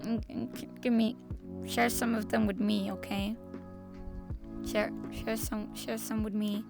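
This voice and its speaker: A woman streamer chatting casually to her viewers, her voice hoarse and raspy, rambling with stop-start restarts. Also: mid-range voice, level tone, measured pace, music in the background. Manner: rambling, stop-start, repeats herself, laughs often. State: hoarse, slightly lost voice. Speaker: VTuber streamer.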